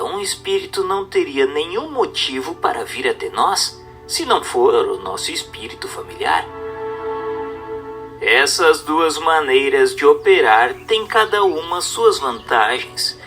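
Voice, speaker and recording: A man delivers formal book narration in a higher-pitched, lively voice. His reading is didactic and carefully emphasized, with music playing behind him. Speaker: audiobook narrator.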